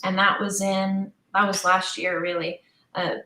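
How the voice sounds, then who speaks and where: Middle aged woman chatting away telling her story, casual and animated, medium pitch, echoey room. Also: unhurried. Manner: chatty, animated retelling with quoted dialogue. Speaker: interview guest, audio drama creator. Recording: roomy, echoing sound.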